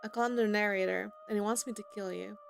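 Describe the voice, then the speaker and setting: Girl doing a casual lets play, reads out choices, bit of vocal fry, soft game music behind her. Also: mid-range voice, steady tone, fast. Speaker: gaming streamer. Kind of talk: casual playthrough commentary, reading dialogue choices aloud.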